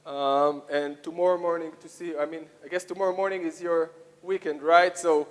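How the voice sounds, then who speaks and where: Long hall reverb surrounds a man with an Israeli accent and a somewhat high-set voice. He is presenting to a conference audience. His delivery is halting, with many hesitations and phrases begun again. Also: fairly even intonation. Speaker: conference speaker, software product lead.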